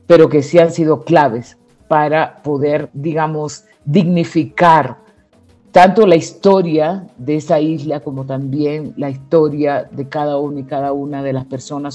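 A woman from the Dominican Republic with a Dominican accent, explaining her views as an interview guest in a deliberate, reflective way, stressing key words. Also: low voice, dark tone.

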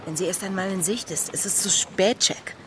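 An actress playing a commanding, impatient young female pirate in an audio drama, speaking with sharp insistence. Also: medium pitch, moderate pace, background noise, close to an everyday voice. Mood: urgent, insistent.

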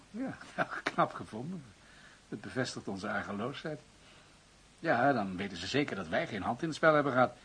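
Husky, composed police chief. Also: male voice, mid-range voice, fairly bright tone, full character voice. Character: composed, scheming police chief defending his man. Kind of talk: radio drama acting.

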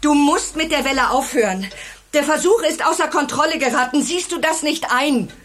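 High-voiced, worried, admonishing wife. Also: female voice, lively intonation, moderate pace, a bit bright. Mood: pleading, worried. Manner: urgent, earnest, admonishing. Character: worried, reproachful wife of an obsessed teacher. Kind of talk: German radio drama acting.

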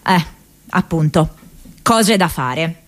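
A woman acting a young American activist in a radio theatre monologue, thinking aloud from her diary in a reflective, halting, conversational way. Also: deep voice, lively intonation. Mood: musing, casual. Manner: halting, reflective, conversational, with hesitations and repeated words.

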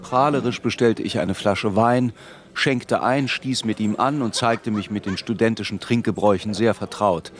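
A man's voice: on the low side, normal speed, lightly acted. An actor narrating as a reflective, melancholy man looking back on his youth. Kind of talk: scripted first-person literary narration.

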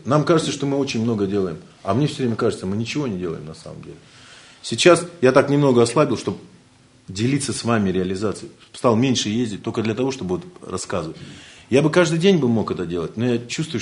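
A man's voice: medium pitch, darkish tone. A man from Siberia with a Siberian Russian accent, giving an informal spiritual lecture in a joking, rambling way and laughing often.

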